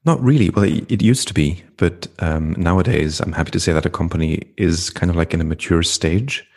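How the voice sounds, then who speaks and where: A middle-aged man from Flanders, speaking as a podcast guest in a reflective, unhurried way with frequent ums. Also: deep voice, a little mellow. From Belgium (Flanders). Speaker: guest, entrepreneur and coach. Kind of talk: podcast interview conversation.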